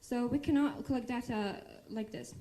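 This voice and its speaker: A young woman makes her case in a student debate. Her voice is very high yet mellow and dark in tone. She speaks off the cuff. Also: moderate pace. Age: young adult. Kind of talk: spontaneous debate argument.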